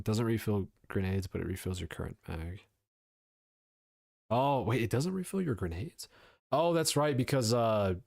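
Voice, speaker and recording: A man's voice: lowish voice, darkish tone, no echo, clean studio sound. A young man streaming live, chatting loosely with viewers, rambling and swearing now and then. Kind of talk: casual live-stream commentary.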